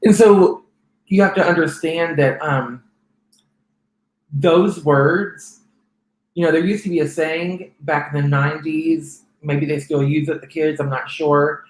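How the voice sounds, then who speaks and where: Soft-voiced man in an echoey room. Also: on the high side, fairly even intonation, measured pace. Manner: soft feminine-sounding voice; rambling, many restarts and fillers. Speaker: interview guest. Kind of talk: personal testimony in conversation.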